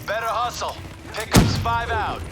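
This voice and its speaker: Video game squad soldier, male: high, urgent, clipped commands under gunfire. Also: expressive, measured pace. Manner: terse, urgent military commands. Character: gruff male soldier in a futuristic military squad. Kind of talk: video game combat voice acting. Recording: loud music and gunfire over voice.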